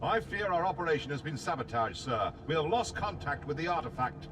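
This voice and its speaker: A man voicing a dutiful, formal soldier in a video game, reporting gravely and insistently to his captain. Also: a little high, very animated, brisk, distant mic, noisy background.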